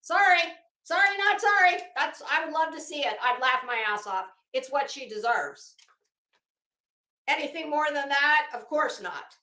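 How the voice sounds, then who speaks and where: An American woman hosting a commentary show, reading documents aloud dramatically and adding casual, emphatic asides with drawn-out phrasing. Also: high voice, lively intonation, bright, forceful tone, echoey room.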